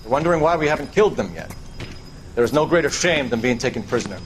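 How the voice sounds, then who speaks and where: A man's voice: mid-range voice, animated, fast talker, slight background noise, natural voice, poor audio. An actor playing a righteous, proud detective and army officer in a video game, an American man who speaks in a stern, probing, precise way. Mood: commanding, lecturing. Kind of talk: dramatic video game acting.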